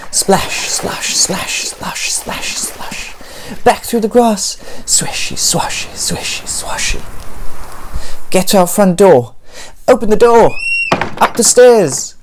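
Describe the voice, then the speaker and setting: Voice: medium pitch, a little hiss or hum. A storyteller reading a children's picture book aloud, theatrically, drawing words out and dropping into whispers for sound words.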